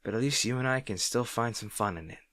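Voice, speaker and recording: A man's voice: mid-range voice, fast talker, a bit bright, no echo, natural voice. A man playing an arrogant but secretly caring supervillain rival, bantering with the listener in an audio roleplay. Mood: earnest, caring. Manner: teasing, bantering, grudgingly sincere.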